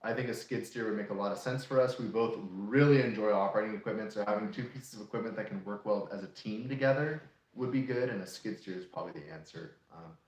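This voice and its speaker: A man in his late twenties, co-hosting a casual live Q&A and explaining things in a relaxed, practical way. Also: lowish voice, fast. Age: 20s. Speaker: co-host of a homesteading channel. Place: room in an off-grid shop. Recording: echoey room.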